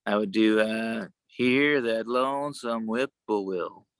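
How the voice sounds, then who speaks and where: A man chatting casually on a video call, with a worn, sometimes gravelly voice and a halting, rambling way of talking. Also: on the low side, no room sound. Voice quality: gravelly at times. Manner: rambling, halting, many restarts. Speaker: show co-host.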